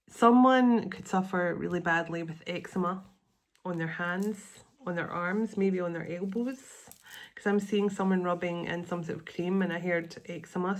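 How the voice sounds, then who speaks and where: Woman, middle-aged, stuffy-nosed, lowish voice; murmured invocations, rambling chat with restarts. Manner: soft invocations, rambling chatty asides with restarts. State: sniffly and congested. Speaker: spiritual channeler and tarot reader. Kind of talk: casual spiritual channeling video.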